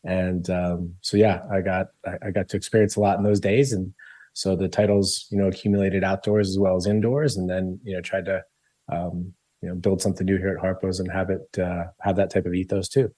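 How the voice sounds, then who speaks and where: A man's voice: sits low, little pitch movement, a little fast, mellow, dry recording. A man from Colombia, founder of a football club, answering interview questions in a rambling way, with many ums and restarts and easy laughs.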